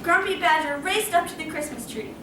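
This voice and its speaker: A woman's voice: high voice, expressive, a little fast. A woman narrating a scripted children's story. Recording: very echoey room, distant mic, faint noise.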